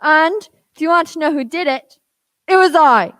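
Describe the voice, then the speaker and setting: A woman's voice: sits high, normal speed, fairly bright tone, no room sound. A woman playing a bold, earnest young boy in a radio play, declaiming earnestly. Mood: defiant.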